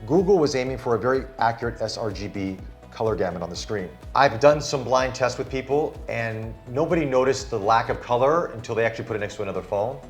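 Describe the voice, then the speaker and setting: A man's voice: mid-range voice, expressive, fast, soft background music. A man reviewing a phone in casual chat, enthusiastic and demonstrating it hands-on. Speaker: tech reviewer. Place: Singapore studio.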